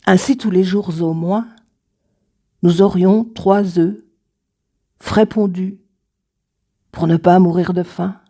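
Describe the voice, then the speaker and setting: A woman reading her own poems aloud in a measured, deliberate way, with dramatic pauses between short phrases. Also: on the low side, fairly even intonation, mellow. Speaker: poet reading own work. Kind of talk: slow recited poetry reading.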